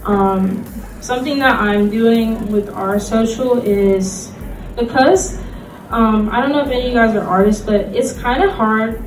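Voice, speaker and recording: A woman's voice: fairly high pitch, measured pace, slight background noise. A woman presenting informally as a convention panelist, with a hesitant, conversational manner full of "like". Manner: hesitant, conversational, says "like" often. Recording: echoey panel room, distant microphone, music.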